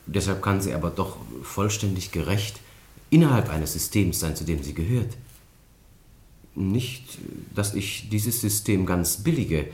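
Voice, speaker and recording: An actor playing a clever, level-headed young student in a radio play, speaking in a measured, diplomatic way. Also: male voice, low voice, expressive, darkish tone, echoey room. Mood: measured, composed. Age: young adult. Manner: measured, deliberate. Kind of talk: German radio play acting.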